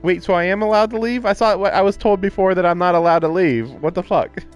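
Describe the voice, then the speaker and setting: A man's voice: sits high, quick pace, highs cut off. A man doing casual livestream commentary and reading aloud, puzzled and musing as he goes. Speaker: livestreamer playing a visual novel. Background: game music.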